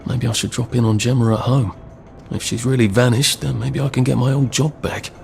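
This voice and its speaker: Game lead male actor: polite young English man, slightly gravelly, mid-pitched, musing drily to himself over music. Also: steady tone, fairly quick, lightly acted. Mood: puzzled musing. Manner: hesitant, wry muttering to himself. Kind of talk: video game voice acting.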